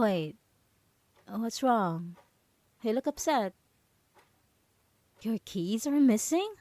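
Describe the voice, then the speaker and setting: Possessive roommate, female woman acting: ASMR roleplay, unhurried and hesitant, falsely innocent and nervously sweet, very dry recording. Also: fairly high pitch, a little mellow, no echo. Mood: feigned concern. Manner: halting, nervous, giggly, hesitant with many trailing pauses. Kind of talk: ASMR roleplay audio drama monologue.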